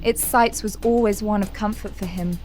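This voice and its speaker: A woman's voice: mid-range voice, fairly even intonation, normal speed, slight background noise, poor audio. A woman delivering dramatic scripted narration for an audio drama. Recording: loud music behind the voice.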